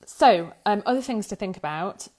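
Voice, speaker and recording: A woman's voice: mid-range voice, animated, normal speed, darkish tone, poor audio. A woman giving an informal explanatory talk as a legal expert, thinking aloud and correcting herself as she goes. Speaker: data protection law expert presenting an explainer.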